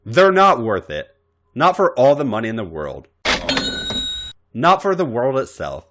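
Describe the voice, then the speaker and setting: A man's voice: mid-range voice, sing-song, unhurried, a bit bright. A man voicing an angry but tender wrestling boss in a romance story, with emphatic, intense delivery. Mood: indignant, protective. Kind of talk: dramatic fan fiction reading.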